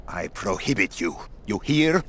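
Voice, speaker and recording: Preachy threatening monk for a video game, deep voice, swings up and down a lot. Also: male voice, very animated, measured pace, a bit bright, exaggerated voice. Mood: stern, condemning. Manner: preachy, imperious, indignant. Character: stern, fanatical monastery priest, zealous and threatening. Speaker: voice actor. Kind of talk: adventure game dialogue.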